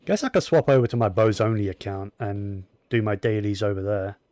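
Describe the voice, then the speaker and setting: A man's voice: fairly low pitch, a little fast, a little mellow, dry recording. A man giving casual gameplay commentary, rambling and opinionated, often talking to himself as he plays. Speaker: gaming streamer.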